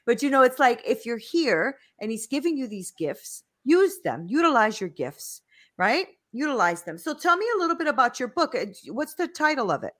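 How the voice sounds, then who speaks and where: A woman hosting an interview podcast, encouraging her guest with steady affirmations and asking questions. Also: a little high, moderate pace. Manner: encouraging, frequent affirmations, preachy asides. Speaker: podcast host. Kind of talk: casual interview talk.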